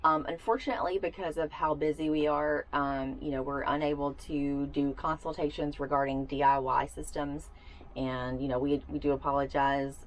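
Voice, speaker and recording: A woman's voice: low-pitched, little pitch movement, normal speed, poor audio. A woman co-hosting a camper vlog, chatting casually to camera from inside a small camper, with lots of fillers and restarts. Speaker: co-host of a camper solar install channel.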